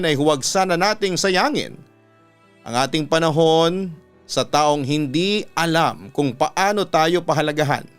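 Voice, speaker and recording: A man with a clear, resonant voice narrates in the manner of a radio drama host. His pitch sits a little high for a man, and he speaks earnestly with deliberate pauses. Soft music plays behind him. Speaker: radio announcer and storytelling host. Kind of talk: dramatic reading of listener letters, scripted narration. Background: background music.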